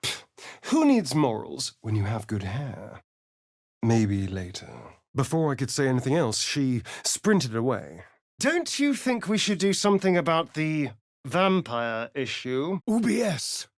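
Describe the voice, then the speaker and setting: A man's voice: medium pitch, big pitch swings, unhurried, no echo, clean studio sound. A male actor playing a vain, sly, sardonic vampire adventurer in a video game, with a theatrical, haughty delivery. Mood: measured storytelling. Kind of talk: video game character voice lines.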